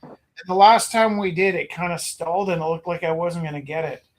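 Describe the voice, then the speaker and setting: A man from Alberta, a gallery owner and teacher, rambling through animated anecdotes in casual chat. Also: on the high side, a little fast, rough recording. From Alberta, Canada.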